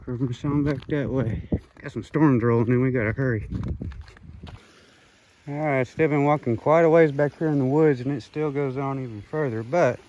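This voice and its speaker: A man's voice: medium pitch, normal speed, mellow, highs cut off. A man out of breath from walking, narrating a casual outdoor vlog as he explores. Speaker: vlogger exploring caves. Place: outdoors in woods and hillsides. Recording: handheld outdoor recording with clear noise.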